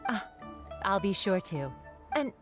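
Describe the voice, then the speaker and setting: A kindly shopkeeper in a video game. Her mid-pitched voice is polite and gracious, with faint music behind it. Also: big pitch swings, slow. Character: kindly, grateful shopkeeper mother selling kites. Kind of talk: video game character voice acting.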